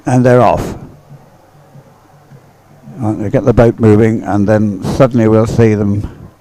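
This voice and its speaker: A man giving live race commentary, explaining things in halting phrases. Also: fairly low pitch, little pitch movement, warm tone, poor audio.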